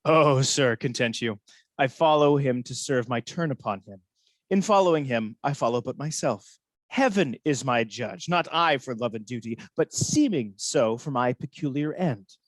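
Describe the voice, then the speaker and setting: A man's voice: mid-range voice, animated, moderate pace, dry recording, lightly acted. A man playing a bitter, scheming military ensign in a Shakespeare radio play, theatrical and sardonic. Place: recorded at home over a video call.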